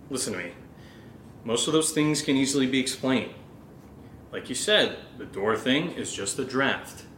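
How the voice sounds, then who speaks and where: A man's voice: mid-range voice, a bit bright, slight background noise, lightly acted. A man acting an anxious young American who plays the rational friend, in a naturalistic horror audio drama. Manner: casual, hesitant, sweary.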